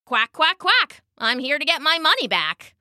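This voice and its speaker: A brave little storybook duck, as a woman reads him out, earnest and chanting his quacks. The voice sits high and bounces around a lot. It is close and dry. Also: sing-song, moderate pace, pushed and bright, no room sound, exaggerated voice.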